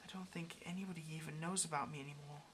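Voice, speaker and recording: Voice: mid-range voice, steady tone, racing, a bit bright, a little hiss or hum, natural voice. A performer playing a lonely, pleading, affectionate caged demon in an intimate ASMR roleplay, speaking in a hesitant, coaxing way. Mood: wistful, shy. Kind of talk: ASMR roleplay, intimate one-sided conversation.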